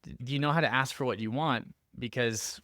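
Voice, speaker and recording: A man hosting a podcast in casual conversation, talking informally and haltingly as he works out his thoughts. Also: mid-range voice, bright, forceful tone, no echo, studio quality. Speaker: podcast host.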